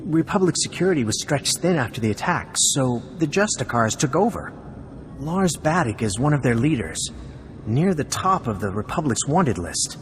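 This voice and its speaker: A man's voice: medium pitch, big pitch swings, moderate pace, slight background noise, natural voice. An actor playing an anxious, courteous male embassy official in a video game, earnest and pleading in manner. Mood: concerned.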